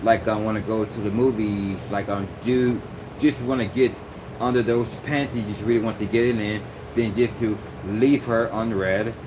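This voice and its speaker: A man's voice: lowish voice, fairly even intonation, moderate pace. A young man talking in a loose, rambling vlog monologue, full of fillers and restarts. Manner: meandering, many fillers and restarts. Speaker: vlogger. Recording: noisy background hum.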